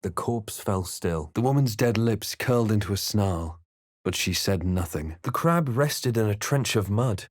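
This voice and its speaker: A man playing a brooding fantasy adventurer in a game, recounting his journey in a measured, solemn, dramatic way. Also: lowish voice, lively intonation, dry recording, studio quality. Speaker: player character voice actor.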